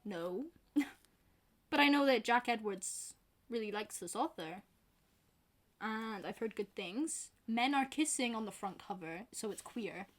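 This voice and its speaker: A young woman with a softened Glasgow accent talks casually to camera, her voice a little higher than most. She rambles, with plenty of fillers and self-deprecating asides. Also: lively intonation, unhurried, pauses often. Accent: softened Glaswegian Scottish. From Scotland. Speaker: book vlogger.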